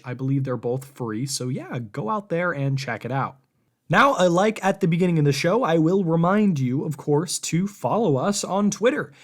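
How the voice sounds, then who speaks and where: Casual male podcast host chatting. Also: a little high. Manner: informal, loose, conversational. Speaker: podcast host and game reviewer. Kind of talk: casual podcast interview chat.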